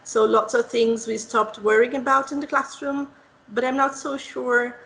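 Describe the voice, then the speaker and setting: A Portuguese-speaking woman from Brazil hosts the interview in English with a clear Brazilian accent. Her voice sits a bit high, and she talks through her thoughts casually with small fillers. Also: flat delivery, normal speed, a bit bright, rough recording. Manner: conversational, reflective, with fillers. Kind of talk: podcast interview.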